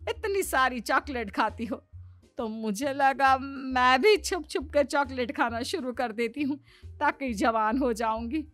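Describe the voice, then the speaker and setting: A woman's voice: high voice, unhurried, fairly bright tone, no room sound, soft background music. A woman voicing a gossipy, complaining middle-aged Indian mother-in-law in a Hindi cartoon, with a dramatic, lamenting manner. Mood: regretful.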